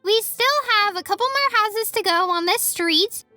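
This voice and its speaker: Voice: very high voice, moderate pace, bright, forceful tone, dry recording, voice pushed up, heavily acted. A performer voicing an earnest young female pony scholar for a storybook reading, pert and lecturing, with music behind. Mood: chirpy, insistent. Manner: pert, lecturing, insistently cheerful.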